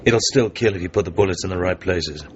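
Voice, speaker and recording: An actor playing a cool, wary British secret agent in a radio drama, terse, dry and controlled. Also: male voice, low-pitched, fast talker, close to an everyday voice. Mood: guarded, businesslike. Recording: slightly noisy, muffled radio broadcast recording.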